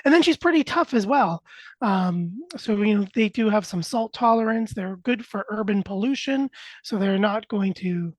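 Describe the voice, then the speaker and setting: A man co-hosting a gardening podcast, calmly explaining plant care with plenty of filler words. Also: high voice, normal speed, dry recording. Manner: informative, steady, filler words. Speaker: podcast co-host, plant expert.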